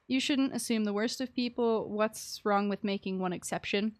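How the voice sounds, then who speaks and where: A woman's voice: mid-range voice, measured pace. A woman giving casual, sympathetic live commentary as she streams a game, chatty and giggly, using pet names.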